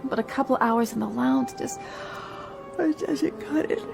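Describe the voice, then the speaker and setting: An actress playing a weary, unsure young rookie stewardess in a radio drama, chatty and confiding. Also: female voice, high voice, measured pace, creaky, darkish tone, soft background music, full character voice.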